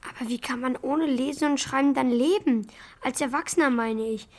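A woman's voice: high-pitched, normal speed, a little mellow. A woman playing a curious, worried schoolboy in a children's radio play, talking in a hesitant, slangy way.

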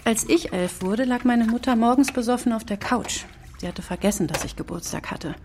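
A woman's voice: a little high, moderate pace, noisy background, lightly acted. An actress playing a weary but loving mother in a German children's radio play. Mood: bitter.

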